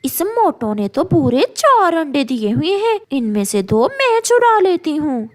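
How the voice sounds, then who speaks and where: A woman's voice: high-pitched, sing-song, measured pace. A woman voicing a sly, greedy female crow in a children's cartoon, with exaggerated delivery. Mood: gleefully scheming. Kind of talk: Hindi children's moral-story cartoon.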